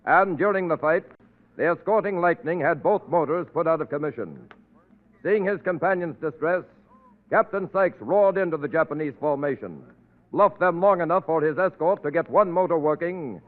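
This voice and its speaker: A man's voice: a little high, normal speed, phone-quality sound. An American man reading scripted narration in 1940s radio announcer style. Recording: thin band-limited 1940s radio broadcast.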